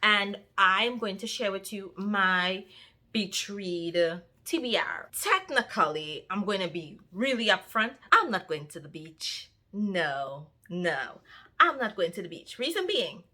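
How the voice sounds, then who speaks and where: A young woman with a Trinidadian accent, chatting casually to her viewers as a book vlogger, lively and talking straight to them. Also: mid-range voice, slow. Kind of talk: casual chat to camera.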